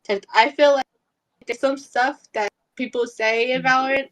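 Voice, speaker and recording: A woman's voice: high voice, fairly even intonation, normal speed, poor audio. A young woman from California, whose first language was Vietnamese, chatting hesitantly on a gaming podcast with frequent fillers and giggles. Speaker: podcast guest and streamer. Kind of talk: casual chat.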